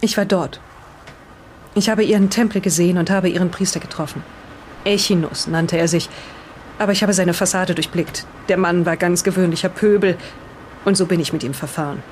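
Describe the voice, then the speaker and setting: Someone voicing an earnest, defensive island resident in an improvised radio play, speaking deliberately as if reading aloud. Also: medium pitch, steady tone, slight background noise, close to an everyday voice. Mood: solemn reading.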